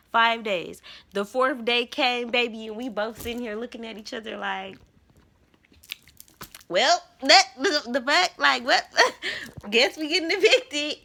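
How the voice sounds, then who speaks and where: A woman's voice: mid-range voice, gravelly, sharp tone. A woman in her twenties giving an emphatic, slangy spiritual testimony to her viewers. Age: 20s. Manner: emphatic, repetitive, slangy storytelling. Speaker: spiritual vlogger.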